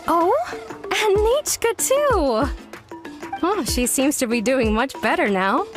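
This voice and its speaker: A woman voicing a friendly, chatty villager in a game cutscene, bright and welcoming. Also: high voice, very animated, takes it slowly, exaggerated voice. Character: cheerful woman villager, warm-hearted and chatty. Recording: game audio with background music.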